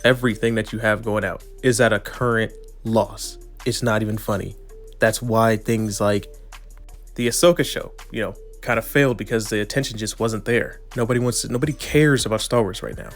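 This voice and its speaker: A man's voice: fairly low pitch, steady tone, brisk, soft background music. A man with a stuffy, nasal voice from allergies, giving earnest, rambling opinion commentary with frequent restarts. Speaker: video commentator.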